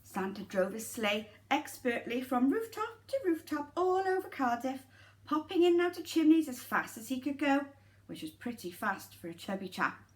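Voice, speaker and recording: High voiced lady reading a story to little kids, super lively and dramatic, chats to them. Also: moderate pace. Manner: animated, dramatic, addresses children directly. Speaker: nursery teacher reading a story. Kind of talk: expressive children's storytelling with asides to listeners.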